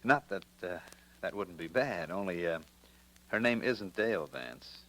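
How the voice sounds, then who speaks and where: A man's voice: sits low, lively intonation, unhurried, fairly bright tone, low-quality recording. An American man playing a smug, slangy blackmailing racketeer in an old radio detective drama. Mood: smug, sly. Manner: slangy, taunting, hard-boiled. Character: smug male racketeering print-shop owner, a blackmailer.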